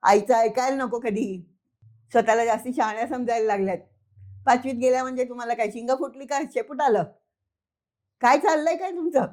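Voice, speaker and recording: Woman playing a stern, high-voiced scolding mother. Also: a little fast, darkish tone, lightly acted. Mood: exasperated. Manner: exasperated, rhetorical scolding.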